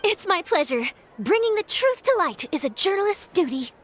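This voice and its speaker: An actress playing a cheerful, excitable young woman in a video game, earnest and full of exclamation. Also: very high voice, normal speed, a little hiss or hum. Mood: eager, cheerful. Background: faint music.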